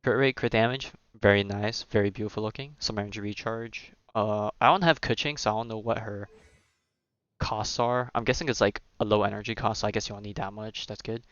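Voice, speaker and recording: A man's voice: on the low side, fairly even intonation, fairly quick, a bit bright, clear, resonant tone, no room sound, muffled recording. A man giving casual livestream game commentary, rambling and thinking aloud in a hesitant way. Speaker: game streamer.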